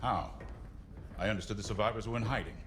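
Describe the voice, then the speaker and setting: An actor playing a grave, guarded military squadron captain in a space video game, measured and questioning in an understated way. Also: male voice, fairly low pitch, noisy background, natural voice.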